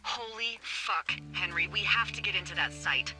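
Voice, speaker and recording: An actress playing a wry, breezy American fire lookout speaking over a two-way radio in a video game. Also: female voice, medium pitch, normal speed, music in the background, poor audio. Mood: alarmed. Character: wry, chipper, foul-mouthed adult woman fire lookout. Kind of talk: naturalistic video game dialogue.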